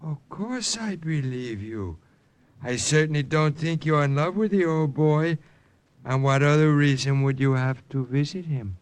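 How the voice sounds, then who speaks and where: A man's voice: fairly high pitch, measured pace, natural voice. An actor playing a smug, sly younger man, an informer with a falsely casual, needling manner, in old-time radio drama. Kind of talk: 1940s-50s radio drama acting.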